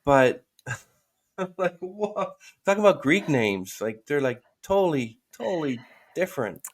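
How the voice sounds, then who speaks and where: Relaxed podcast host asking questions. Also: medium pitch, measured pace. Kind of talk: casual interview questions.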